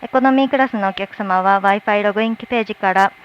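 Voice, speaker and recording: A woman's voice: mid-range voice, rapid-fire. A woman working as a flight attendant reads a formal, polite scripted announcement over an aircraft cabin PA. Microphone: cabin PA system heard through speakers. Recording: muffled cabin PA with faint engine noise. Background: faint aircraft cabin hum.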